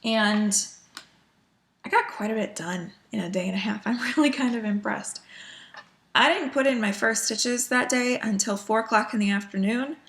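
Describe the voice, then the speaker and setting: Sniffly chatty woman with a gravelly voice. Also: a little high, normal speed, fairly bright tone. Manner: chatty with frequent ums and small laughs. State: sniffly from a cold. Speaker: cross-stitch vlogger. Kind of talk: casual chat.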